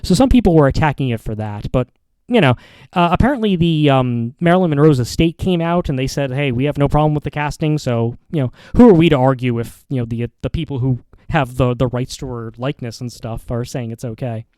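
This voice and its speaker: A man hosting a podcast, giving casual opinion commentary in a halting, rambling way with repeats and self-corrections. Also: mid-range voice, warm tone, clear, resonant tone, no room sound, low-quality recording. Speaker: podcast host.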